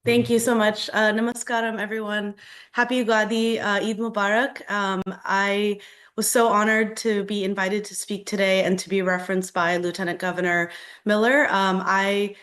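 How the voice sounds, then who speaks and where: An American woman giving opening remarks on a panel as a government official, speaking haltingly with many fillers. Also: medium pitch, level tone, a bit bright. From United States, raised in Delaware. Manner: hesitant, halting, frequent fillers.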